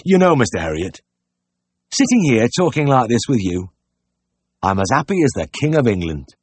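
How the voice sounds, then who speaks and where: A man's voice: medium pitch, big pitch swings, moderate pace, a little mellow, husky, dry recording, voice pushed up. A man voicing an anxious, pig-obsessed older country innkeeper in an audiobook, earnest and plain-spoken with rustic phrasing. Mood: contented.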